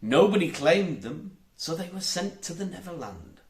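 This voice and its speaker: Expressive male storyteller in a roomy space. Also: a little high, moderate pace. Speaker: audiobook reader. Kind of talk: scripted storybook narration for children.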